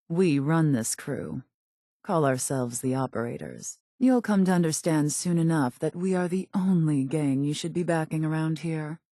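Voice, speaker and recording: A woman's voice: low-pitched, warm tone, no echo, close to an everyday voice. A woman playing a cool, calculating raider gang boss in a video game, speaking in a composed, haughty, articulate way with dry menace. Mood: cool, scheming. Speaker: gang leader in a post-apocalyptic video game.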